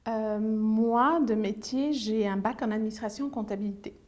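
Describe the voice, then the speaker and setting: A woman's voice: fairly high pitch, moderate pace, a little mellow. A woman, answering interview questions hesitantly with many fillers and restarts. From Belgium. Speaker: business owner, interview guest.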